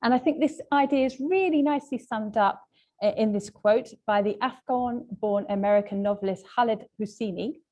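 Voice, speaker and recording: A woman presenting a webinar lesson as a language trainer, explaining points in a polite, measured, semi-scripted way. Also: a little high, darkish tone, lo-fi sound.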